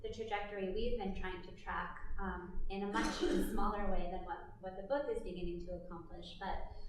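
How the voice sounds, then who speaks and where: A woman's voice: mid-range voice, steady tone, normal speed. A woman on a panel speaks in a mid-range voice, hesitating often as she searches for words. Her voice rings around a very echoey hall, with faint noise underneath. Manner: hesitant, many ums and restarts. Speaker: panelist, co-author. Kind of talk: panel discussion remarks. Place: lecture hall.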